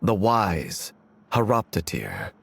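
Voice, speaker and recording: A man playing a grave, guarded immortal wanderer in a video game, with a solemn, formal, measured way of talking. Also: on the low side, sing-song, vocal fry, a little hiss or hum, exaggerated voice.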